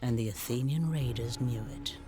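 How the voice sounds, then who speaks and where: An actor playing a grave storyteller, narrating ancient history in a measured, literary style for a video game. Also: male voice, mid-range voice, dark tone, faint music behind, natural voice. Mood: dramatic gravity. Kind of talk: scripted dramatic narration.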